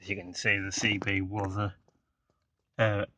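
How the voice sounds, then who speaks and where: A man's voice: fairly low pitch, little pitch movement, measured pace, bright, forceful tone. A man giving a casual, hesitant, matter-of-fact demonstration of a piece of equipment as a hobbyist presenter.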